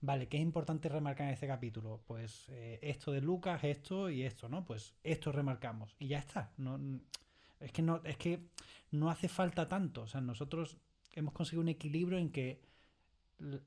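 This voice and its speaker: A man's voice: fairly high pitch, moderate pace, a little mellow. A man with a Spanish accent from Spain talking casually in an interview as a creator, explaining with animation, repeating words and checking in with little tag questions. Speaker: audio fiction creator being interviewed. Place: recording studio.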